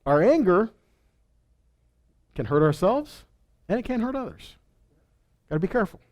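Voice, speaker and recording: Male Bible teacher, slightly high-pitched, conversational sermon style, mixes scripture reading with plainspoken commentary and rhetorical questions. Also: very animated, normal speed, a little mellow. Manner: conversational, punctuated with rhetorical questions and check-in words.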